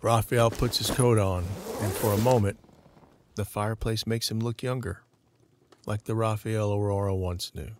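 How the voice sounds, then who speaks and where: A man's voice: on the low side, fairly even intonation, moderate pace, darkish tone. A man reading scripted scene narration for an audio drama. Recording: clearly audible background noise.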